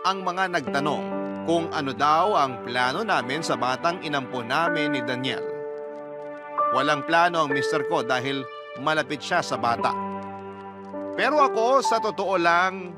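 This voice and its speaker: A man's voice: a little high, animated, a little slow. A man reading a listener's letter as dramatic scripted radio narration. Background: prominent background music.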